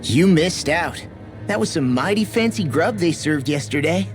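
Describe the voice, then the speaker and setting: An actor playing a casual, easygoing young fighter in a fantasy game, informal and expressive. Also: male voice, on the high side, moderate pace, soft background music, natural voice. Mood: casual, puzzled. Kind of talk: dramatic video game acting.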